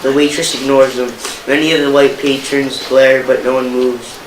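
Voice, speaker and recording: A man's voice: mid-range voice, level tone, normal speed. A young man reading scripted narration aloud as an amateur student performer. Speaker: student narrator in a reader's theater. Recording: echoey room with clear noise.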